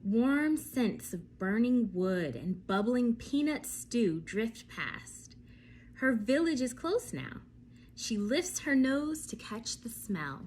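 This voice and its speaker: A woman reading a bedtime story aloud for children, expressive and often turning to ask her young listeners questions. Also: on the high side, takes it slowly, a little hiss or hum.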